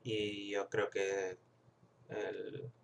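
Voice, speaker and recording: A man's voice: on the low side, level tone, very slow, gravelly, slight background noise. A young man from the United States with a Mexican-influenced Spanish accent, talking through a class reading in a reflective vlog, with many fillers and restarts. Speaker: student.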